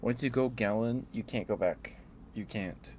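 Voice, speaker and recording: A man's voice: on the low side, moderate pace, a little mellow, slight background noise. A man streaming ASMR, chatting casually and playfully to his live viewers in a rambling way.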